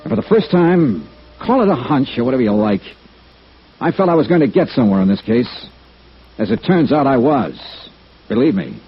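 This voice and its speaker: An actor playing a blunt, tough male insurance investigator, probing and brisk, in 1950s radio detective style. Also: mid-range voice, big pitch swings, faint music behind. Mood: wry narrating. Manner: blunt, probing, hard-boiled. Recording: muffled old radio broadcast recording.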